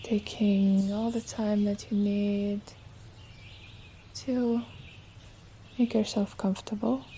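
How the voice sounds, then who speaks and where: Very mellow, slow woman guiding relaxation. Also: medium pitch, little pitch movement, slight background noise. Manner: soothing, unhurried, gentle instruction. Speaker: yoga nidra teacher. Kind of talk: guided relaxation meditation. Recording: nature ambience with birdsong behind. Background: birdsong and nature sounds.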